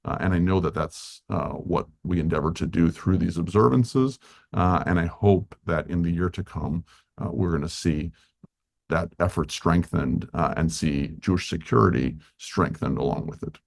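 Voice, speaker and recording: A man's voice: low-pitched, moderate pace, vocal fry. A man giving earnest formal remarks at a remembrance ceremony, with frequent fillers. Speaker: official speaker at a remembrance ceremony.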